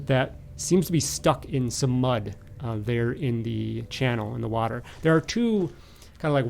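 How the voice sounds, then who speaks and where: A man narrating as game master of a tabletop game, improvising with halting, hesitant phrasing. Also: mid-range voice, creaky, darkish tone.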